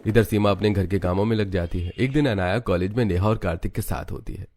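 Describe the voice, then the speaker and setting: A man's voice: deep voice, fast talker, darkish tone, slight background noise. A man reading scripted narration for a moral story as its storyteller.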